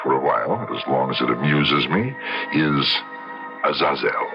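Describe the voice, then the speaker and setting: An actor playing a sly, ancient warlock with courtly, theatrical menace in a radio horror drama. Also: male voice, a bass voice, expressive, unhurried, exaggerated voice. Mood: sly, menacing. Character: ancient sly warlock who deals in costly bargains. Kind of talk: old-time radio horror acting. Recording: dull old radio recording, music behind.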